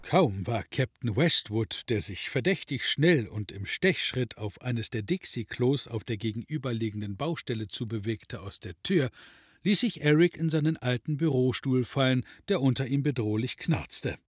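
A man's voice: mid-range voice, lively intonation, moderate pace, breathy edge, no echo, clean studio sound. A man reading scripted narration as the narrator of an audio drama. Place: dry studio.

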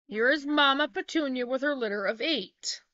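A middle-aged woman reads her how-to notes in a bright, forceful, fairly high voice, practical and plain, now and then correcting herself mid-phrase. She sits at a laptop webcam in a small wood-paneled room. The sound is dry and dull, lo-fi. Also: moderate pace, dry recording. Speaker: homesteading vlogger. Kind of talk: reading a how-to narration.

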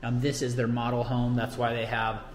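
An American man gives a casual, slangy tour as he walks around. He sounds keen and informal, with a mid-pitched voice. It is recorded on a handheld camera with clear background noise. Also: completely flat delivery, normal speed. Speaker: real estate vlogger giving a home tour. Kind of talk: casual walk-through tour commentary. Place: walking through a model home and its yard. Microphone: handheld camera at arm's length.

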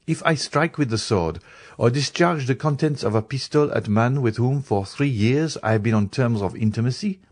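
A man's voice: mid-range voice, darkish tone, no room sound, natural voice. A man voicing an earnest young male journalist, a grave and loyal friend, with emphatic, formal delivery in an audiobook. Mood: resolute, grave. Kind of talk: dramatised audiobook reading.

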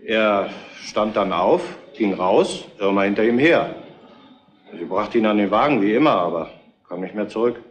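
An actor playing a matter-of-fact, gossipy roadhouse witness in a retro crime radio play. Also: male voice, lowish voice, lively intonation, normal speed, dull audio, a little hiss or hum, lightly acted.

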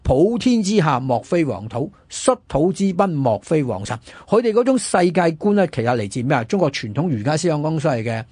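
A man with a Hong Kong Cantonese accent talks history at a brisk clip, his voice fairly high and rough-edged. He is emphatic and punctuates his explanations with rhetorical questions. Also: sing-song, a little mellow, no echo, clean studio sound. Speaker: history scholar on a radio programme. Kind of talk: animated history discussion.

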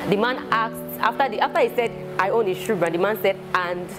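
A young Nigerian woman, a shoemaker, chatting in an interview in a shoe showroom and telling her story with animated, repeating phrases. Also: medium pitch, fairly quick, over music. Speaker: shoemaker and entrepreneur. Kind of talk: casual interview chat.

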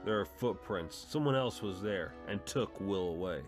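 Man, lowish voice, dramatic comic book narration, host, music behind. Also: animated, moderate pace.

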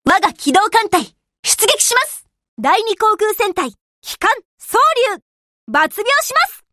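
Cheerful warship girl, anime game character, very high voice, girlish, earnest, sweetly familiar, dry close sound. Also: very animated, takes it slowly, sharp tone, dry recording, heavily acted. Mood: rousing, determined. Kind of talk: Japanese game voice acting, short scripted lines.

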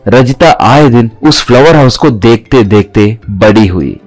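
A man's voice: mid-range voice, expressive, unhurried, a little mellow, soft background music. A man narrating a scripted story.

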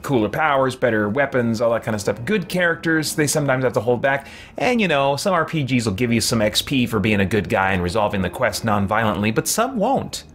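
A man's voice: mid-range voice, very animated, a little fast, hardly any pauses, a bit bright. A man around his forties gives casual game commentary, rambling and thinking aloud as he plays. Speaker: streamer playing a role-playing game. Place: indoor room lined with shelves. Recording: clear background noise.

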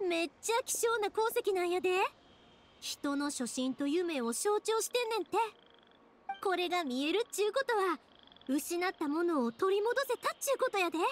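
A woman playing a cheerful, warm-hearted young anime game heroine, speaking in a spirited, encouraging way with a Kansai accent. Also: extremely high pitch, animated, moderate pace, slight background noise. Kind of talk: Japanese anime game voice acting.

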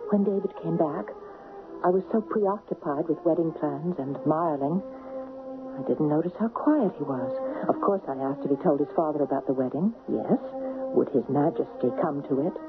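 A woman's voice: low-pitched, expressive, normal speed, over music. An actress playing an earnest, tender young woman in love, dramatic in a 1970s radio play. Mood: earnest narrating. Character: young woman dreamer and tender, pleading royal lover. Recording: very dull, phone-like old radio recording.

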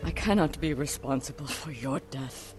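A woman's voice: very deep voice, moderate pace. A stern older widow of a samurai clan for a video game. She speaks in grave, clipped, resolute lines, grieving and set on vengeance, with music playing behind her. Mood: stern, bitter. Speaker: game character. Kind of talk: dramatic video game acting.

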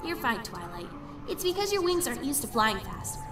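A woman's voice: on the high side, sing-song, brisk. A woman voicing a brash but caring young female pegasus pony in a fan fiction audio drama, casual and teasing. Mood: reassuring. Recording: roomy, distant, music behind.

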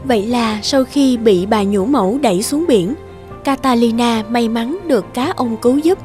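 A woman's voice: on the high side, normal speed, darkish tone, soft background music. Woman narrating a fairy tale.

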